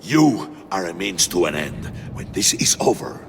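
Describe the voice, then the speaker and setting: A man's voice: sits low, sing-song, unhurried, exaggerated voice. An actor voicing a defiant, haughty male villain in a video game, declamatory and strained. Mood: defiant fury. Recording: clear background noise.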